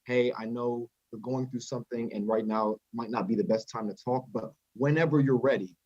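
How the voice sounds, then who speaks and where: A man's voice: mid-range voice, steady tone, brisk, darkish tone, no room sound. A young man, a student panelist, speaking in an articulate, earnest way. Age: young adult. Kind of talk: panel discussion. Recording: dry, dull, husky sound.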